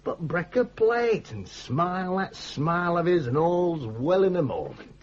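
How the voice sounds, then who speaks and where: Crafty Northern English club spokesman, emphatic and folksy, husky, swooping delivery, period radio play. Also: high-pitched, sing-song, a little slow, full character voice. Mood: blunt, explaining. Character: blunt, crafty male village club spokesman, Mister Shoebridge. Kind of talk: early-1980s British radio drama acting. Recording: dull, band-limited old radio broadcast.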